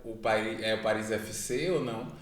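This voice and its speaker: A man hosting a podcast interview, relaxed and affirming, often answering with short agreements. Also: on the low side, fairly quick, echoey room. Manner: relaxed, affirming with frequent "massa" and "entendi". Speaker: podcast host. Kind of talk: casual interview conversation.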